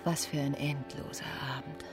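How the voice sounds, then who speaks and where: An actress playing a restless, secretly scornful young wife in a radio play, with a creaky voice. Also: female voice, sits low, steady tone, moderate pace, over music, natural voice. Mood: whispered contempt.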